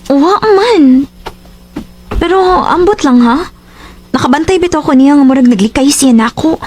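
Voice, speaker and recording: A woman's voice: high-pitched, moderate pace. An actress with a Cebuano accent playing an emotional, lovesick young woman in a radio drama. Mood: lovesick, troubled. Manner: emotional, expressive. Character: Sylvia, hurt, lovesick young woman in love with her best friend.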